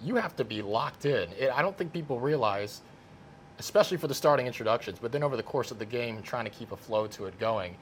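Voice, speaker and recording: A man hosting a casual interview podcast, talking in an animated, self-deprecating, conversational way. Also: medium pitch, fast talker, a little hiss or hum. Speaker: podcast host, play-by-play sportscaster.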